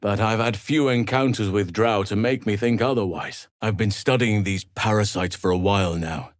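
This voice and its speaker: An actor playing a calm, wise elven druid man in a video game, speaking in a measured, formal, earnest way. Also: on the low side, no echo, clean studio sound, close to an everyday voice. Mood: earnest, firm. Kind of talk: video game character voice lines.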